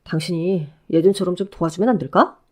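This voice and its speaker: A woman's voice: lowish voice, fast, dark tone, close to an everyday voice. A woman voicing a pleading, self-pitying middle-aged ex-husband who begs and whines. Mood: begging. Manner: wheedling, whiny begging.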